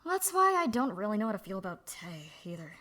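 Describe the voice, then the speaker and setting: A woman voicing a young woman speaking softly and haltingly about her past, in a fan audio drama. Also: medium pitch, big pitch swings. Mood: somber. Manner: soft, halting, confessional. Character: young woman, subdued, candid.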